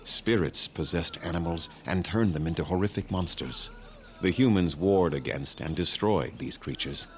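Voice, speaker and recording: Man as elven clan leader, low deep voice, formal, grave, haughty, weary, fantasy video game, noisy ambience behind. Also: moderate pace, close to an everyday voice.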